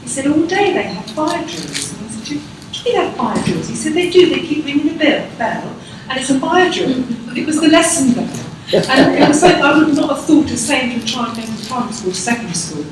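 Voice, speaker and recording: A woman in the audience, a teacher, chatty and animated as she tells an anecdote in a conference hall. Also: sits high, fairly quick, background noise. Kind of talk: telling an anecdote. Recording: echoey hall, distant mic.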